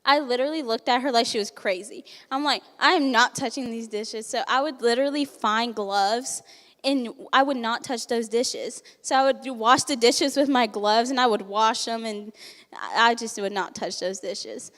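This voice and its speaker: Teen girl giving a speech at a banquet, high voice, laughs a lot, echoey hall. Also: normal speed, a bit bright. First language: not English. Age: teens. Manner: candid, laughs often, acts out quotes. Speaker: resident giving a testimonial.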